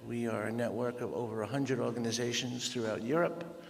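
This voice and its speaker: A man's voice: mid-range voice, steady tone, gravelly. Dutch-accented man presenting in a hall. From Netherlands. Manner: measured, with frequent pauses and fillers. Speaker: conference speaker. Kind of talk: conference presentation. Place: large conference hall. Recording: reverberant conference hall.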